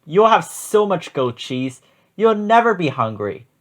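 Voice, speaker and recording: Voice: medium pitch, very animated, a little slow, voice pushed up. A narrator as a bold, bossy nanny goat. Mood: confident, explaining. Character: Jaina, bold bossy female leader goat.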